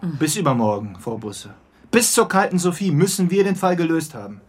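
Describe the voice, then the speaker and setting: Awkward male investigator, radio play: high, animated pitch, dry, pedantic, hesitant. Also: moderate pace, slightly rough.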